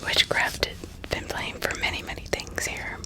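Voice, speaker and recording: A woman narrating true crime in a breathy ASMR whisper, deliberate and often repeating words and phrases. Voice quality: breathy, mostly unvoiced whisper. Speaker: ASMR host.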